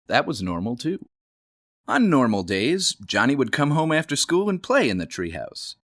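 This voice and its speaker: A man reading a children's storybook aloud. He plays up the words with dramatic emphasis, his pitch leaping and dropping all through. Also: fairly bright tone. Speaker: storybook reader. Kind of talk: read-aloud storybook narration.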